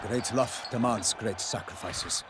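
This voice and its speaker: A man's voice: lowish voice, measured pace, a bit bright. An actor playing a genteel, chivalrous nobleman in a fantasy video game, speaking formally and politely. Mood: courtly, earnest. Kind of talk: video game dialogue acting.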